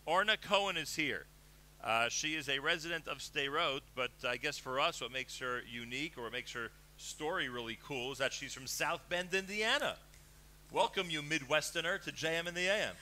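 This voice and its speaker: A middle-aged man hosting a live radio show, enthusiastic and animated, speaking into a headset mic in a broadcast booth. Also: on the high side, moderate pace, slight background noise. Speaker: radio host.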